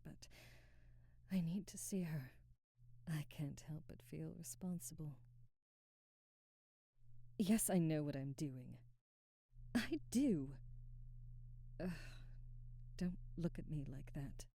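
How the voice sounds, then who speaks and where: Female vampire aristocrat, acted by a woman, very dark mellow tone, slow and imperious, formal diction, ASMR roleplay. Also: deep voice, no echo, clean studio sound, exaggerated voice. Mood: exasperated, concerned. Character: haughty aristocratic female vampire, commanding, coldly indignant. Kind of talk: scripted ASMR audio roleplay.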